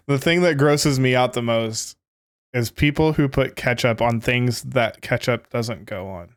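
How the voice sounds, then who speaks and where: A man's voice: medium pitch, slow pace, no room sound, studio quality. A man co-hosting a casual podcast, laughing a lot and filling his talk with "like". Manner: laughs often, says like a lot. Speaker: podcast co-host. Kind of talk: casual chat.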